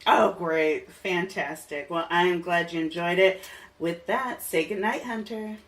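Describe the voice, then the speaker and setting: A woman's voice: on the low side, a little slow, recorded from a distance. A woman hosting a casual podcast interview in an informal, enthusiastic way.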